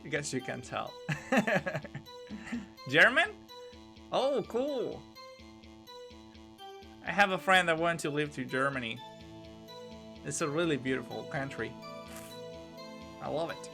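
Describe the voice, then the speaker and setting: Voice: medium pitch, slow, gravelly, pauses often, faint music behind. A streamer who is a non-native English speaker, chatting casually and hesitantly while playing a game. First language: not English. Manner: relaxed, hesitant with fillers.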